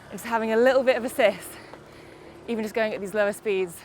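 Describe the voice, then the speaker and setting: A woman's voice: on the high side, quick pace. Woman, congested, upbeat, talking while cycling outdoors, light traffic noise. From United Kingdom. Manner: chatty, upbeat, explains as she rides. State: congested from a summer cold. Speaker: e-bike reviewer. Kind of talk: casual video review while cycling. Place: outdoors on a bike on roads and hills.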